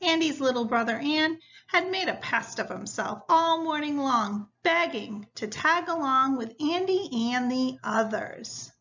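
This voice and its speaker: A woman teacher reading a story aloud to students in a deliberate, instructional way. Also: high-pitched, expressive, fairly bright tone. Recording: dull, lo-fi sound.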